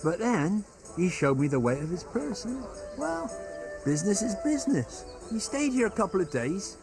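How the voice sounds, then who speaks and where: An actor playing a grumbling but obliging medieval innkeeper in a video game, folksy and gossipy. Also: male voice, high voice, sing-song, normal speed, vocal fry, music in the background, exaggerated voice. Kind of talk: naturalistic video game acting.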